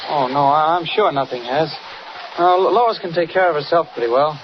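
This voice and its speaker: A man's voice: on the high side, a little fast, close to an everyday voice. An American man playing an earnest, mild-mannered newspaper reporter with a hesitant delivery in a 1940s radio serial. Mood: reassuring, hesitant. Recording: noisy, muffled 1940s radio recording.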